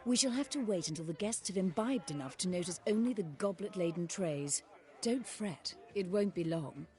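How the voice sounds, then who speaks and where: A woman playing a composed aristocratic mother in a fantasy video game, formal and measured with dry disdain. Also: on the low side, animated, warm tone, natural voice. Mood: composed, wry.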